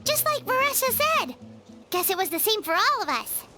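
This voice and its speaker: A woman voicing a small, excitable sidekick with a cheeky, teasing way of talking. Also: very high voice, normal speed, over loud music. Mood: chatty.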